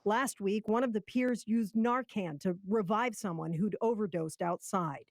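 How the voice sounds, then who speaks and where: A woman's voice: mid-range voice, unhurried, no echo, studio quality. A woman with an American accent reading scripted narration as a television news reporter.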